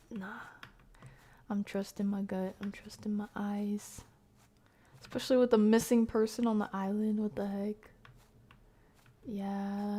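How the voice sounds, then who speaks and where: A woman streamer giving casual, chatty horror game commentary, muttering to herself in a breathy voice that often drops to a whisper. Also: mid-range voice, dark tone.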